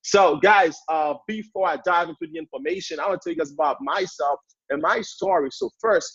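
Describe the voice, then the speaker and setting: A man in his twenties with a Haitian accent, giving an energetic motivational talk as a guest speaker on a sales training call. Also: fairly high pitch, a little fast, husky, no echo, muffled recording. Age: 20s. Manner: hyped up, energetic, repetitive.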